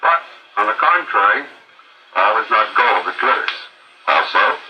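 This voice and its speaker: An actor playing a theatrical, suspenseful announcer of a mystery radio show, declaiming through a vintage radio speaker. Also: male voice, fairly low pitch, very animated, unhurried, highs cut off, slight background noise. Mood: dramatic, suspenseful. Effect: played through a vintage radio speaker.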